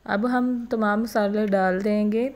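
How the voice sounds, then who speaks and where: A woman calmly narrating a home cooking recipe step by step as host of her cooking channel. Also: mid-range voice, slow.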